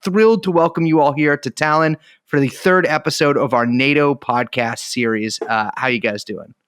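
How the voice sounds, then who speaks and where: A man co-hosting a podcast in casual joking chat, swearing freely and rushing through bits in deadpan bursts. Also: medium pitch, clean tone. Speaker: podcast co-host.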